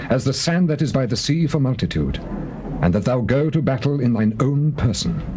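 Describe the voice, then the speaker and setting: Actor as animated, persuasive counselor Hushai. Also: male voice, mid-range voice, natural voice. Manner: oratorical, emphatic. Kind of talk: dramatized scripture acting. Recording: hissy old cassette recording.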